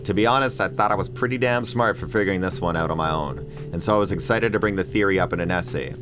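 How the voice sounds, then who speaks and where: Low guy doing a snarky crude video essay voiceover, guitar music underneath. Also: quick pace. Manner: irreverent, sarcastic, crude joking. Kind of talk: scripted comedic video essay narration. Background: background music with guitar.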